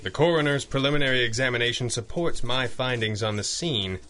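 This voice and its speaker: Male actor as crisp forceful masked hero, calm, commanding, formal diction, 1930s radio drama, faint noise behind. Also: medium pitch, fairly quick, natural voice. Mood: calm, grave. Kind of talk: 1930s radio serial acting.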